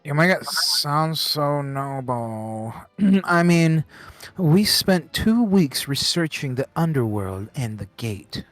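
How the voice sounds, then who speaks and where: A prickly, boastful noble warrior from a video game fan dub, acted by a man. The voice sits a little high and moves slowly, halting as it goes. Music plays faintly behind it. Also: lively intonation, a bit bright, dry recording, full character voice. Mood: proud, boastful. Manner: halting delivery with throat clearing.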